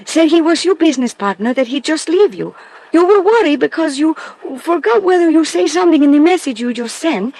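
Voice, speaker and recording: High-voiced, Spanish-accented female clerk. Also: lively intonation, brisk, darkish tone, no echo, poor audio. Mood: earnest, apologetic. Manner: earnest, broken English. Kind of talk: classic radio drama acting.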